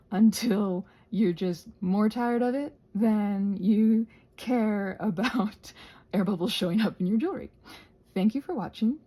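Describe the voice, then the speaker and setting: Gravelly, husky woman rambling through a tutorial. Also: lowish voice, dark tone. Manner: playful, self-deprecating asides. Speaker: craft tutorial host. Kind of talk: casual rambling craft tutorial.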